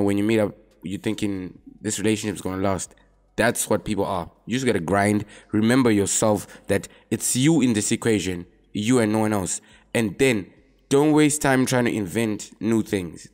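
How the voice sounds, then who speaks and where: A young man from Zimbabwe, a rapper answering questions about himself in relaxed, casual talk. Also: on the low side. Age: young adult. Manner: relaxed, laid-back, drops in "man" often.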